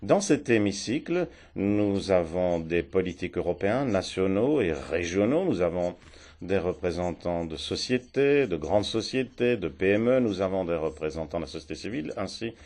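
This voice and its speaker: A man with a fairly low voice opens a policy conference as its chair. He speaks in a formal, measured way, with steady official phrasing in a parliament hemicycle. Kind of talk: formal opening remarks.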